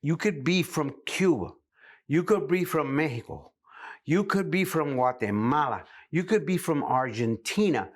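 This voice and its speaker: A man's voice: a little high, expressive, measured pace. A middle-aged man from New City, a stand-up comedian guest telling wry anecdotes that land on punchlines. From New York City. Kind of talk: casual comedic storytelling.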